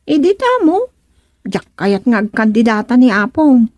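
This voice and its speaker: Chatty granddaughter for village radio comedy: high voice, lively swings, expressive delivery. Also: female voice, unhurried, dry recording. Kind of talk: comedic radio serial acting.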